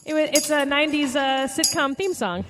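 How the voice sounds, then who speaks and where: A woman's voice: high voice, fairly quick, a bit bright, faint music behind. A woman comedian making up jokes on the spot in playful podcast banter. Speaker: comedian guest.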